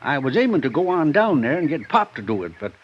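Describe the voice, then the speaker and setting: An actor playing an old, shrewd prospector who has lived alone in the mountains for decades, talking in a drawling rural dialect, in a 1950s radio drama. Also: male voice, on the high side, sing-song, fast talker. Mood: folksy. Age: older. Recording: dull old radio broadcast with faint hiss.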